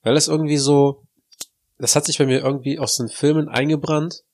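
A man's voice: on the high side, fairly even intonation, fairly quick, a little mellow. A man co-hosting a podcast, talking with a stuffy nose that makes him sound nasal, rambling and joking in casual banter. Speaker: podcast co-host.

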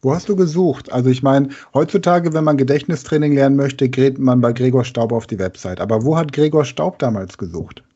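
A man's voice: medium pitch, a little fast, few pauses, warm tone, slightly rough, muffled recording. A middle-aged man hosting an interview, prompting his guest with friendly, open questions. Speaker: podcast host, interviewer.

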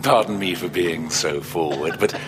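A man's voice: sits low, normal speed, close to an everyday voice. A man playing a suave vampire master's servant in an audio drama, courtly, deferential and insinuating.

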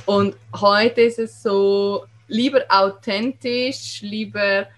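A woman's voice: medium pitch, steady tone, slow pace. Woman with regional German accent, candid, emphatic, motivating podcast guest. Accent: regional German dialect. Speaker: guest, Instagram creator. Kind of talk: casual podcast conversation.

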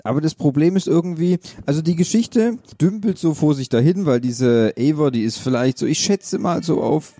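A man's voice: fairly high pitch, lively intonation, normal speed, few pauses, warm tone, no room sound, clean studio sound. A man co-hosting a casual podcast, retelling a series plot in a rambling way with many restarts. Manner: rambling, self-correcting retelling. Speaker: podcast co-host reviewing series. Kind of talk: casual chat.

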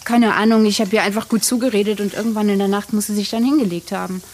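A woman's voice: medium pitch, steady tone, fast, darkish tone, close to an everyday voice. An actress playing a caring, practical woman among friends in a naturalistic audio drama. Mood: calm, caring.

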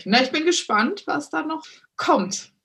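A woman's voice: sits high, lively intonation, measured pace, a bit bright. A woman interviewing a podcast guest in casual German, encouraging her and often nodding along with short "mhm"s. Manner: encouraging, with lots of "mhm" backchannel.

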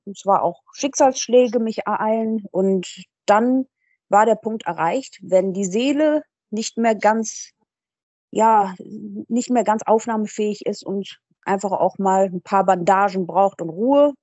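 A woman's voice: medium pitch, a little slow, dark tone, no room sound. A woman in her thirties, an author and teacher, speaking thoughtfully and articulately as a podcast guest, with occasional hesitations. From Germany. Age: 30s. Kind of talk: interview conversation.

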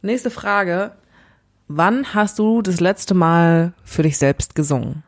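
A young woman co-hosts a podcast with a teasing, quick-to-laugh manner. Her voice sits fairly low for a woman. She keeps the talk casual and loose. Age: young adult. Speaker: podcast co-host. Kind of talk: casual chat.

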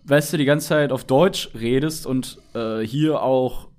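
A man's voice: mid-range voice, a little slow, a little mellow. A man in a casual conversation, joking around and adding wry asides to what he reads out. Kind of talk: casual chat.

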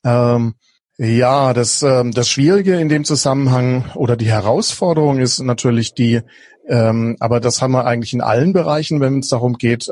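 A man's voice: medium pitch, darkish tone, slightly rough, no echo, clean studio sound. A man from southwest Germany, a guest on a podcast interview, talking in a hesitant way with many fillers and restarts. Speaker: guest, innovation coach.

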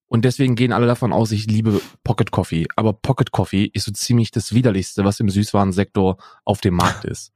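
A man's voice: on the low side, fast talker, few pauses, darkish tone, husky, dry recording, studio quality. Man rambling dryly on a call. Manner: rambling, many fillers and restarts. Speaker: podcast co-host. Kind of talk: casual chat. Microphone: remote call recording.

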